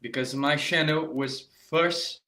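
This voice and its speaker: Brazilian man teaching live online, halting. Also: on the high side, steady tone, sharp tone. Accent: Brazilian Portuguese. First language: Portuguese. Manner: halting, repeats words often, addresses viewers directly. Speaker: saxophone teacher. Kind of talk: live online masterclass talk.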